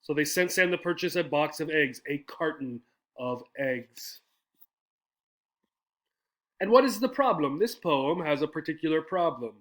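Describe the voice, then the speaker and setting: A man's voice: fairly high pitch, expressive, a little slow, long pauses. A man teaching English, explaining step by step in a didactic way with frequent questions and check-in tags. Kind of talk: explanatory English lesson with poem reading.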